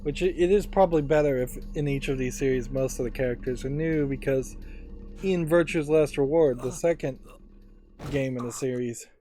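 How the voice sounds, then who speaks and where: A man's voice: medium pitch, normal speed, warm tone. A man giving casual, musing, analytical commentary as he plays a video game. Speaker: let's play host. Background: game music and voices.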